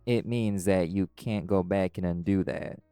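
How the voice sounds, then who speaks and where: A man playing a calm, caring were-dog pack alpha in an audio roleplay, gently reassuring a friend. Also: low voice, measured pace, clean tone, no echo, soft background music. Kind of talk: audio roleplay acting, intimate comforting talk.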